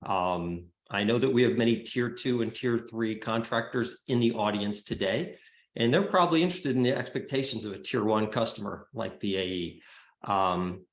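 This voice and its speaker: A man with a mid-range voice introduces a guest and poses questions as a session host. He talks in a friendly, unhurried way, with lots of filler sounds. His voice carries the echo of a roomy space. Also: medium pitch. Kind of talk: moderating an online summit session. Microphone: remote video call.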